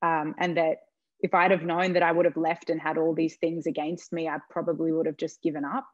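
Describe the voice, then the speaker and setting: A low-pitched woman in her thirties speaks earnestly on a video-call panel, encouraging the listener. The sound is dry and close, a little dull like laptop audio. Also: little pitch movement, fairly quick, no room sound. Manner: earnest, encouraging advice-giving. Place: home room with plain walls.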